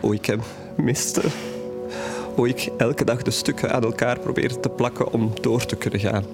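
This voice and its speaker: A man's voice: mid-range voice, steady tone, normal speed, gravelly, music in the background, natural voice. An actor playing a grieving, candid young man telling of his troubled past in an audio drama. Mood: grieving, earnest. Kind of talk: audio drama acting, hesitant storytelling.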